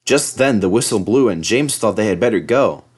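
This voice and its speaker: A man's voice: lowish voice, lively intonation, moderate pace, slightly rough. A man reading a scripted children's story aloud as narrator. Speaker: storybook reader.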